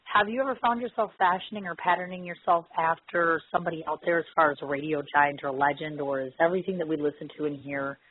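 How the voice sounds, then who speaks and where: A woman hosting a casual, chatty internet radio interview, her voice hoarse and husky from laryngitis. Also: low voice, steady tone, fast talker, few pauses. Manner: chatty, informal. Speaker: internet radio show host. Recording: muffled, lo-fi radio connection.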